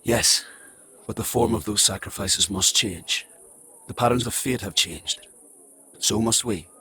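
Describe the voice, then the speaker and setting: An actor playing a stern, paternal male prophet-like leader in a dark fantasy audio drama, grave, formal and commanding. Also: lowish voice, unhurried, breathy edge, exaggerated voice. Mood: solemn.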